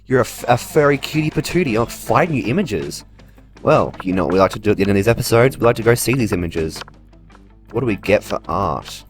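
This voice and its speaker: A man's voice: fairly low pitch, lively intonation, a little fast, soft background music. A man doing casual, chatty let's play commentary in a playful way, reading game text aloud as he goes. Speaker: gaming channel host.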